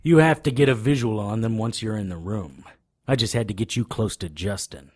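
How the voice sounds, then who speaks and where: An actor playing a wry, hard-boiled occult investigator, narrating and speaking in a scripted audio drama. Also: male voice, fairly low pitch, a little fast, no echo, lightly acted. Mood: cocky, taunting. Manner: wry, sardonic.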